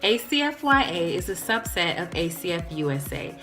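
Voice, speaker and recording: A young woman with a bright, forceful voice that sits a little low, speaking upbeat and playful straight to camera. Soft music plays under her as she introduces herself. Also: very animated, normal speed. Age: young adult. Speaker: young adult fellowship president. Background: soft background music.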